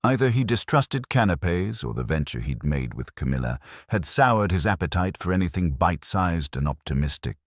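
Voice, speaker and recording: A man's voice: low-pitched, big pitch swings, normal speed, hardly any pauses, no echo, studio quality. A man narrating scripted first-person detective fiction in a wry, hardboiled manner. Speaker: audiobook narrator.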